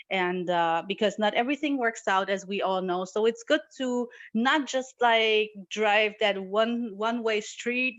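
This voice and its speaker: A young woman from Morocco, guest on an interview over a video call, talking in a lively, casual way with headphones on. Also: mid-range voice, no room sound, highs cut off. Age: young adult. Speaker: guest entrepreneur and artist.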